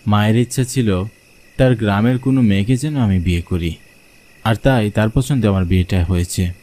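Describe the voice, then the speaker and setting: Low, mellow male horror-story narrator. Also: moderate pace. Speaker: first-person story narrator.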